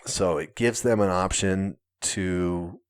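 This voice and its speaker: A man's voice: low-pitched, takes it slowly, clear, resonant tone. A man co-hosting a casual podcast, telling a story at length while he hunts for words and lets out a chuckle now and then. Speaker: podcast co-host.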